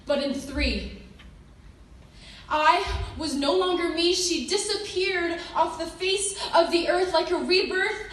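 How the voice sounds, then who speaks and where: A woman reciting rhyming slam poetry with dramatic pauses and an emotionally intense delivery. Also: very high voice, recorded from a distance. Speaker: slam poet. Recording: echoey room from afar, noisy.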